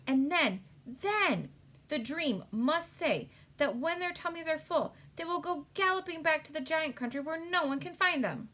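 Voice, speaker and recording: A woman voicing a clever, excited little storybook girl who gives emphatic instructions. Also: high voice, a little slow, pitched above the performer's own voice, full character voice.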